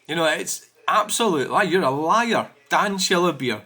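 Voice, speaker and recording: A Scottish man rants on his own channel in a bright, forceful voice. He talks indignantly and swears freely, padding his lines with "you know" and "I mean" as he picks apart viewer comments. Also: a little high, expressive, normal speed, breathy edge. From Scotland. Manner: indignant, profane, full of fillers like "you know". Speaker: channel host.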